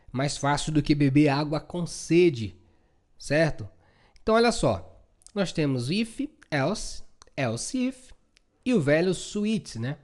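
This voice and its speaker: A man's voice: a little high, a little mellow. A Brazilian man giving an informal, chatty coding tutorial, often checking in with short tag questions. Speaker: programming instructor.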